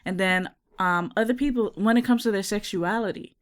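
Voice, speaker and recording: A podcast co-host who grew up in Jamaica, chatting casually in a lively way with slang, with no accent. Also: medium pitch, fairly quick, clean tone. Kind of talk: casual chat.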